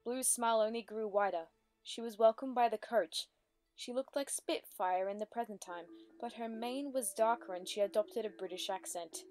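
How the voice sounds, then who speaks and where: A woman's voice: a little high, moderate pace, darkish tone. A woman reading scripted story narration as the narrator of a dramatic reading. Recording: music under the voice.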